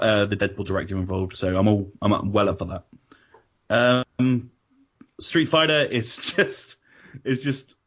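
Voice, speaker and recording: A British man hosting a casual panel chat, chatty with laughing asides. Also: on the low side. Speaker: podcast host. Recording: dull lo-fi audio.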